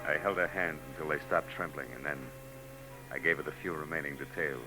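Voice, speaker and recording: A man's voice: very deep voice, fairly even intonation, fairly quick. An actor playing a hard-boiled male private eye who narrates and trades wisecracks, in a 1940s radio drama. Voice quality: dry, wisecracking. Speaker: narrator and lead. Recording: old radio broadcast tape with faint noise.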